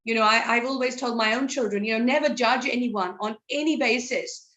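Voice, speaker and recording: A woman in her fifties with a British English accent, talking in a fairly high voice. She answers as a guest in an interview, earnest and reflective. She often restarts her sentences and fills pauses with "you know". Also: a bit bright. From England. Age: 50s. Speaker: psychology counsellor, guest. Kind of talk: conversational interview.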